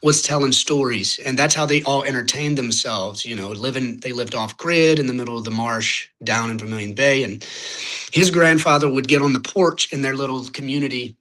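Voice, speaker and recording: A man's voice: medium pitch, normal speed, a bit bright, low-quality recording. A young man from Louisiana with a Louisiana accent, a podcast guest chatting casually, rambling a little and searching for words as he talks. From Louisiana, United States. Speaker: podcast guest, singer-songwriter. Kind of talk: casual interview chat.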